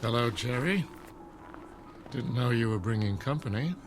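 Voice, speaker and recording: An actor playing a disapproving, suspicious member of a secret group, measured and stern, in an audio drama. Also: male voice, mid-range voice, animated, noisy background, natural voice. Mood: cold disapproval.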